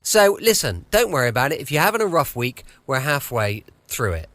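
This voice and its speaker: A man's voice: mid-range voice, very animated, a little fast, a bit bright, no room sound. A British man hosting a podcast with a chatty, reassuring, rambling introduction. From southwest of the United Kingdom. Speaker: podcast host. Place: at home, dry close recording.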